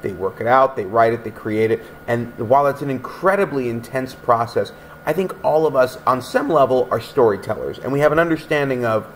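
A man's voice: mid-range voice, fairly quick, a little hiss or hum, low-quality recording. A man who owns a bookstore, interviewing an author over a video call with thoughtful, wordy questions. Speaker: bookstore owner hosting the event. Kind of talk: interviewing a guest.

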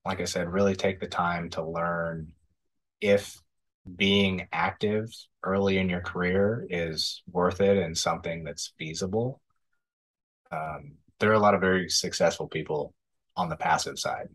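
A man in his thirties with a very low, husky voice. He answers in a measured, thoughtful way, pausing on ums. He is on a video call from a room at home. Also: steady tone, fairly bright tone. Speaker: podcast guest, real estate investor. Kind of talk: casual interview answers.

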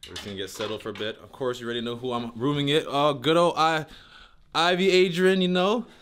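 A man in his thirties, a basketball player, chatting casually to viewers in a travel vlog, giving quick updates and laughing often while he films himself at arm's length. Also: fairly high pitch, fairly bright tone, clear, resonant tone. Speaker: basketball player vlogging a team road trip. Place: moving between cars, a gas station and a hotel. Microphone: handheld camera at arm's length.

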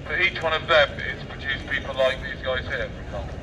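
A man's voice: mid-range voice, brisk. A man doing provocative street speaking through a megaphone, rambling and argumentative, repeating his questions. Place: outdoors on a busy city street at night. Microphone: far camera. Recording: distant, noisy city street recording.

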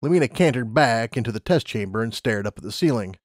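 A man's voice: mid-range voice, animated, quick pace, no room sound, studio quality. A man narrating a scripted story as an audiobook narrator.

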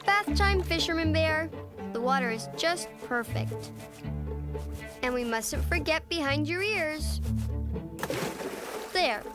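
An eager little cartoon bear cub, a boy with a very high, lively voice. He talks slowly in a bubbly singsong, playful and full of make-believe. Music plays behind him. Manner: bubbly, singsong, giggly play-acting. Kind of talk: children's cartoon voice acting.

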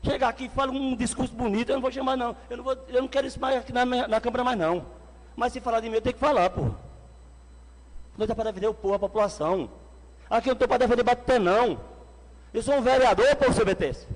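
A man in his forties with a Pernambuco accent giving an angry, shouting speech as a city councilman at a desk microphone. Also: extremely high pitch, racing. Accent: Pernambuco Brazilian Portuguese. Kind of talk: heated council floor speech. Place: council chamber indoors. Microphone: desk microphone on stand. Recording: long reverb of a council hall.

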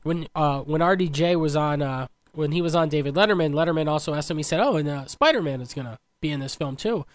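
A man's voice: a little high, fast, few pauses. A man from upstate New York co-hosting a podcast in casual chat, sounding stuffy and congested. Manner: easygoing, chatty with fillers. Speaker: podcast co-host.

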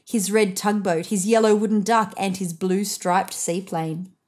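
A woman narrating a children's picture book expressively, with deliberate emphasis on key phrases. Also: mid-range voice, fairly even intonation, darkish tone.

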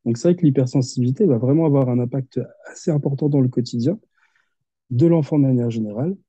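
Man explaining calmly on a muffled call. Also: medium pitch, slightly rough, no room sound. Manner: measured, explaining with examples. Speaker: occupational therapist guest. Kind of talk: explanatory interview talk. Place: home office with window. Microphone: headset on video call. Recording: muffled video call audio.

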